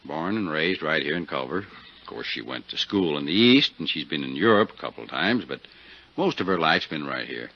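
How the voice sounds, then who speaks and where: An actor playing a folksy, easygoing older small-town Western sheriff in a 1950s radio drama. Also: male voice, extremely low pitch, expressive, normal speed, heavily acted. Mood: frank, reflective. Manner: folksy, drawling out hesitations. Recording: dull 1950s radio recording.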